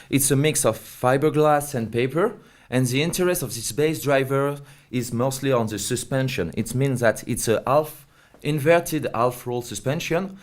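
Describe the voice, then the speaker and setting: Man explaining a product. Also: medium pitch. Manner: hesitant non-native English phrasing. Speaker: company representative being interviewed.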